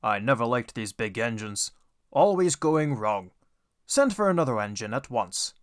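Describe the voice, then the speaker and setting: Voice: mid-range voice, big pitch swings, moderate pace, voice pushed down, lightly acted. A narrator voicing a grumbling, authoritative male railway director, a stout man in charge. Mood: grumpy.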